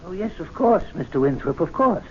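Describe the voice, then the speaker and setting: An actor playing a meek, nervous old servant in a radio drama, hesitant and deferential. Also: male voice, sits high, very animated, brisk. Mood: polite, firm. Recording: dull hissy vintage radio recording.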